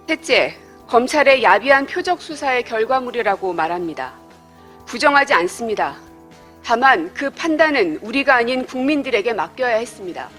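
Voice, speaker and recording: A woman politician delivering a prepared political speech in a formal, measured way. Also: a little high, a bit bright.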